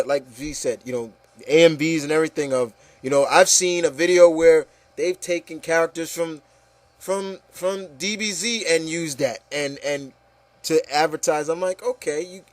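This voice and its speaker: A man's voice: on the high side, no room sound. A man hosting a casual panel chat about movies, talking in a rambling, enthusiastic way and often saying "you know". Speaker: host of an opinion show.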